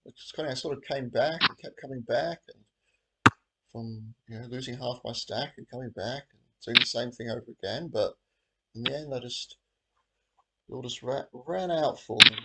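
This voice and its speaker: A hoarse man fighting off a cold rambles through his poker hands, thinking aloud in a loose, half-muttered way. His voice is gravelly and crackly at the bottom. A loud, steady hiss of noise sits under the recording. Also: mid-range voice, moderate pace. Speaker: host. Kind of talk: casual commentary while playing online poker.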